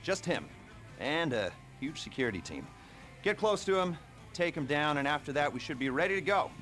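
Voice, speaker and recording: An actor playing a chatty, self-mocking criminal heist handler in a video game, rambling and darkly joking. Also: male voice, on the high side, sing-song, normal speed, fairly bright tone, faint music behind. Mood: glib, businesslike. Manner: rambling, hesitant, darkly joking, chuckles to himself. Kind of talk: video game cutscene acting.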